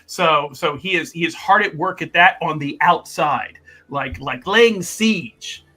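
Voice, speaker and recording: A man's voice: on the high side, unhurried, bright, forceful tone, faint music behind. A man playing a tabletop game, casually recapping what his character has been up to, often stopping to search for words and restarting.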